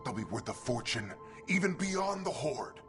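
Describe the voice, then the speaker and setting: A man's voice: mid-range voice, very animated, moderate pace, fairly bright tone. A reckless, bragging treasure hunter in a fantasy game. His theatrical voice swings widely, with music behind it. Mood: boastful. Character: boastful, reckless treasure hunter hungry for glory. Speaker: voice actor. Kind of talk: video game cutscene acting.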